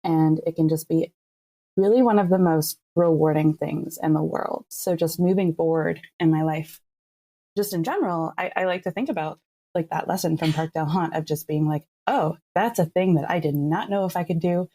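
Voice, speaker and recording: Woman, low and soft-edged voice. Earnest, playful, reflective podcast conversation. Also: steady tone, moderate pace, husky. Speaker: podcast co-creator, writer and voice actor. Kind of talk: casual reflective discussion.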